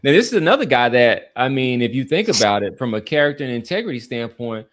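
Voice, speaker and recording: A man's voice: medium pitch, very animated, fast talker, a bit bright. A man hosting a casual sports talk podcast, speaking emphatically and often repeating his phrases for weight.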